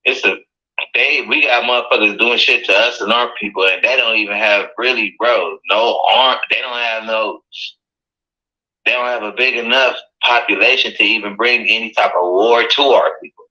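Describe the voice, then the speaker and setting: A man's voice: lowish voice, moderate pace, sharp tone, lo-fi sound. A man with an American accent in casual conversation, speaking assertively with slang and swearing.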